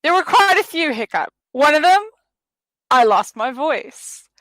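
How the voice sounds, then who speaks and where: A woman's voice: high-pitched, big pitch swings, a little slow, fairly bright tone, no room sound, low-quality recording. A woman hosting a casual science radio show, bubbly and exclamatory, laughing often. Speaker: host.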